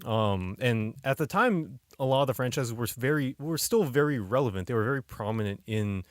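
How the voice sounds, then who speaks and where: Low-voiced man in casual podcast talk. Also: lively intonation, moderate pace, no echo, studio quality. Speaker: podcast co-host.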